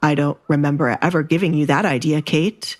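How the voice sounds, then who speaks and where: A woman voicing a sardonic, menacing young duke in an audio drama, speaking formally and with measured, veiled threat. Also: deep voice, fairly even intonation, close to an everyday voice. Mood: cool, mocking.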